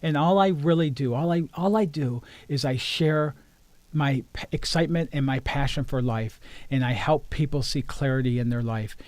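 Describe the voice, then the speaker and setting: A man's voice: mid-range voice, moderate pace, a little mellow, no echo. A man, a life coach being interviewed, talking earnestly about his work and often repeating words as he starts a sentence. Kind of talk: casual interview conversation.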